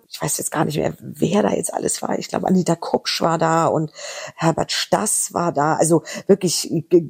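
A woman's voice: on the low side, vocal fry, a little mellow, slightly rough. A middle-aged woman from Berlin with a slight Berlin accent, a voice actress telling anecdotes in a chatty, rambling interview. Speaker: voice actress guest. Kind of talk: casual interview chat.

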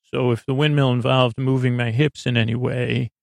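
Rambling man with a gravelly, creaky voice. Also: mid-range voice, little pitch movement, dry recording. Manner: meandering, halting, trails off into tangents. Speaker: podcast host. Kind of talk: rambling bedtime podcast monologue.

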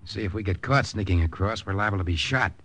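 An actor playing a hardboiled American secret agent, terse and dramatic, with an American accent in an old radio drama. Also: male voice, lowish voice, fast talker, fairly bright tone. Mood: tense, terse. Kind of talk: dramatic first-person narration, old-time radio acting.

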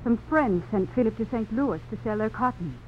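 A woman's voice: fairly high pitch, lively intonation, brisk, close to an everyday voice. An actress playing a weary, anxious lady with a dramatic, emphatic delivery in an old radio drama. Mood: distressed. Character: weary, anxious Southern lady minding a reckless drunkard. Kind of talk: 1950s radio drama acting. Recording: dull, noisy old radio broadcast recording.

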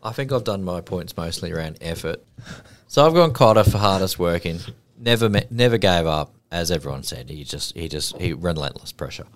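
A man's voice: low voice, expressive, fairly quick. A man co-hosting a sports podcast, talking casually and earnestly about the team's players. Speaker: podcast co-host.

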